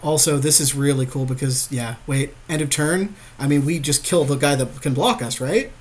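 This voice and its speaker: A man's voice: mid-range voice, moderate pace. A man giving informal, conversational commentary on a card game as a podcast co-host.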